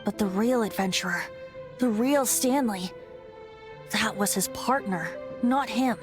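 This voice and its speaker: A woman's voice: medium pitch, unhurried, close to an everyday voice. A woman voicing a playful, musing young bard in a video game, teasing and thoughtful. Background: faint background music.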